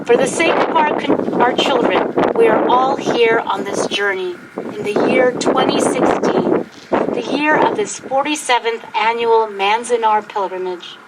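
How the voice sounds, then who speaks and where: Loud wind noise batters the microphone at an outdoor event. Over it, a woman with a high voice delivers a prepared speech. She speaks formally and deliberately, with a solemn bearing suited to a commemoration. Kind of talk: prepared public speech, read aloud. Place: outdoors at a historic site.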